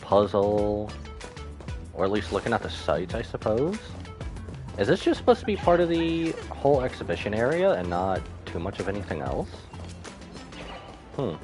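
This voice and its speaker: A man's voice: on the low side, big pitch swings, normal speed, long pauses. A man giving casual live commentary as he streams a video game. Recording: game music behind the voice.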